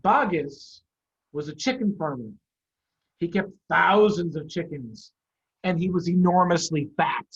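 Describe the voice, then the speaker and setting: A middle-aged American man, a head of school, hosting an online story time and reading aloud to young children in an animated, emphatic way with dramatic pauses. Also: on the high side, slight background noise. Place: online video call. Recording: dull, muffled online video call.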